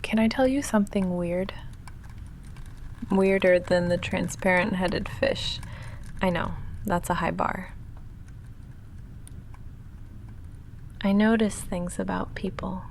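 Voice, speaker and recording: A woman playing a goth vampire roommate in an intimate ASMR roleplay, soft-spoken and halting, dry and guarded but quietly affectionate, often slipping into near-whisper. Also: lowish voice, vocal fry, slight background noise. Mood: confiding.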